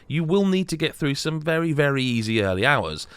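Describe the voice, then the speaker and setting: A man's voice: fairly high pitch, very animated, brisk, fairly bright tone, clean tone, dry recording. A man reading a scripted video game review as a voice-over. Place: close, dry voice-over recording.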